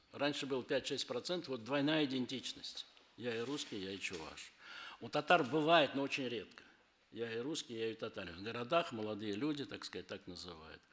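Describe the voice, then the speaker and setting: A man giving a conference talk, speaking haltingly with many pauses and fillers. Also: mid-range voice, a bit bright. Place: conference hall. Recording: long hall reverb.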